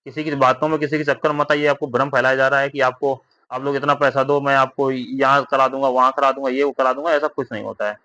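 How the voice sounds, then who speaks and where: A man's voice: mid-range voice, flat delivery, quick pace, hardly any pauses, pushed and bright, no room sound, lo-fi sound. A man explaining exam updates informally to candidates, repeating himself, often checking for agreement, advising and reassuring. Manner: repetitive, with frequent tag questions seeking agreement.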